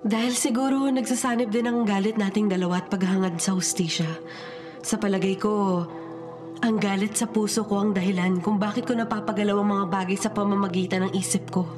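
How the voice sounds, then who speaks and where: A woman's voice: mid-range voice, steady tone, a little fast, close to an everyday voice. A woman playing the vengeful ghost of a murdered young woman in a Filipino radio drama, solemn and haunting, with a Filipino accent. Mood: worried, earnest. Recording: radio drama with loud music underneath. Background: dramatic music.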